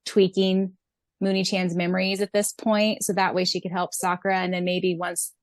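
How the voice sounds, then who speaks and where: A woman's voice: fairly low pitch, level tone, normal speed, no echo. Young woman chatting on a dry-sounding podcast. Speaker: podcast co-host and audiobook narrator. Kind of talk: casual fan discussion podcast.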